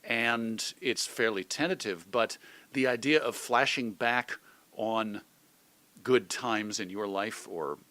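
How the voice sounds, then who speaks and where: A middle-aged man from suburban Chicago giving reflective, measured answers as an interview guest, carefully qualifying his points. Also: lowish voice, sharp tone, no echo. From suburban Chicago, United States. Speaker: podcast guest, magazine editor and radio host.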